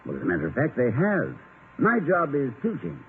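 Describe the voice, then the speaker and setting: An actor playing an erudite, flustered professor husband in 1950s radio comedy, wordy and stammering with exasperated politeness. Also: male voice, mid-range voice, wildly swooping pitch, a little fast. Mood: wry. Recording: tinny, noisy 1950 radio recording.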